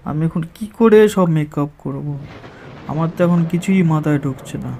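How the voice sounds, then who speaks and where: Man acting a plaintive, high-voiced village farmer. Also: moderate pace, mellow, a little hiss or hum, close to an everyday voice. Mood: worried. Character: poor village chilli farmer, worried, plaintive husband. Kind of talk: dramatised cartoon story dialogue. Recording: music behind the voice.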